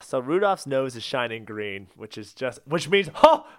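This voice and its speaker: A man's voice: medium pitch, big pitch swings, moderate pace, clean tone. A man co-hosting a comedy podcast, insisting on his reading of song lyrics with mock exasperation. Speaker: podcast co-host. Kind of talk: comedic banter, song analysis.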